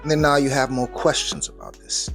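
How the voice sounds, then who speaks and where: A man's voice: mid-range voice, fairly even intonation, brisk, fairly bright tone, soft background music. A man commenting on video clips in a skeptical, matter-of-fact way. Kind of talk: reaction commentary.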